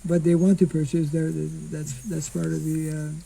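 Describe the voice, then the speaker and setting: An older monk giving an informal scripture class outdoors into a stand mic, speaking slowly and haltingly and clearing his throat often. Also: on the high side, little pitch movement, warm tone, low-quality recording. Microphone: stand mic in front.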